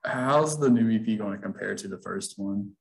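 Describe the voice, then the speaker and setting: A man's voice: on the low side, moderate pace, gravelly, low-quality recording. A man interviewing a band, asking questions in an easygoing way with plenty of fillers. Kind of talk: casual interview.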